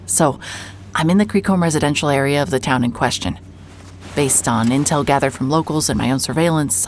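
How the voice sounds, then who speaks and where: A woman's voice: deep voice, slight background noise. A woman acting as a hard-hearted, wry and nervy private investigator in a cinematic audio drama, muttering to herself as she works. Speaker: lead actor and narrator of an audio drama. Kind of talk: cinematic audio drama, first-person noir narration and case dictation.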